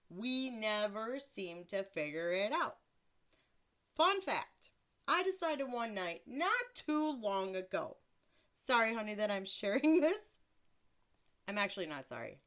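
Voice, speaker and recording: Woman, thirties, deeper voice; blunt, crude solo podcast host who mocks herself, sits a little high in pitch. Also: fairly bright tone. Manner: blunt, crude, self-mocking, laughs often. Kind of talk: casual solo podcast monologue.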